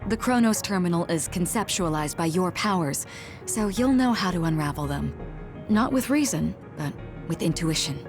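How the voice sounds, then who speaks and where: A woman playing a calm, supportive young female guide in an English game dub, over music. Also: on the low side, moderate pace. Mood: calm, explaining.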